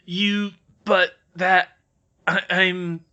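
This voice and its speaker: A sour old caretaker, as a man puts him on, wheezing through every line. He snaps at people and stammers when caught off guard. His voice is sharp and swoops widely in pitch. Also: big pitch swings, a little slow, dull audio, full character voice. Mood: hostile. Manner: snapping, stammering when flustered. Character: bitter old male caretaker, sour and suspicious.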